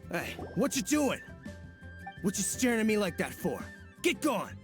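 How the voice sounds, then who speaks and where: A rude, shifty young thug. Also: male voice, high-pitched, very animated, a little slow, over music. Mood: hostile. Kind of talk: video game voice acting.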